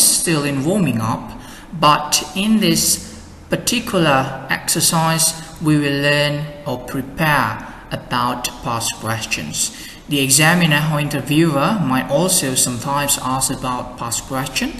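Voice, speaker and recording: A young adult man with an Indonesian accent, an English exam tutor explaining slowly and carefully with model answers. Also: a little high, a bit bright, a little hiss or hum. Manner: slow, careful explaining with many "and then" links. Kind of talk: lesson with sample answers. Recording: echoey room with faint ambience.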